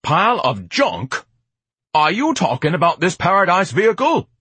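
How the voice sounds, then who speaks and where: A man's voice: medium pitch, sing-song, moderate pace, a bit bright, slightly rough, no echo, voice pushed up. A man voicing a pompous, indignant, gluttonous educated man with grandiose, haughty diction in an audiobook. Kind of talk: audiobook character acting.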